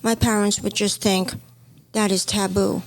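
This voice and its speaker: A woman's voice: mid-range voice, flat delivery, measured pace. A middle-aged woman from Hong Kong, a native Chinese speaker, co-hosting a casual podcast chat as a stylist and telling stories from her past. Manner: storytelling, warm reminiscing.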